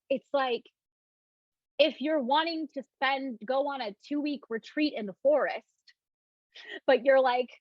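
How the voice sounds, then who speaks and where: A woman with a fairly high voice guides listeners through a self-love talk, slowing down to repeat phrases gently and then slipping back into loose, chatty speech with lots of "like". The sound is dry and close, with a slightly dull, muffled top. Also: no room sound. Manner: soft, reassuring, repeats phrases slowly for emphasis; loose, chatty with many "like" and restarts. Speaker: self-love speaker leading a live online talk. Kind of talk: guided talk with breathing cues and viewer questions.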